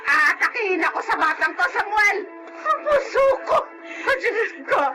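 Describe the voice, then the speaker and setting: A woman's voice: very high-pitched, sing-song, moderate pace, loud music behind the voice. A woman playing a bitter, quarrelsome elderly Filipino grandmother in a melodrama, scolding shrilly and wailing theatrically. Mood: furious wailing. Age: older. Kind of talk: melodramatic drama acting.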